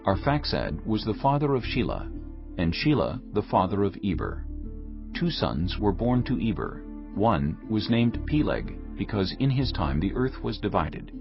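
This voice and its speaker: A man narrating scripture from a script in a solemn, deliberate, measured way. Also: on the low side, vocal fry. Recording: dull audio with loud music behind.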